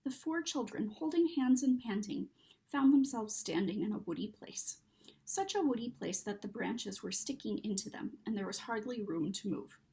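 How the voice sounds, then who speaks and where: A woman reading a children's story aloud in an expressive, dramatic narrating style. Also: medium pitch, moderate pace, creaky, rough recording. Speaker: story reader.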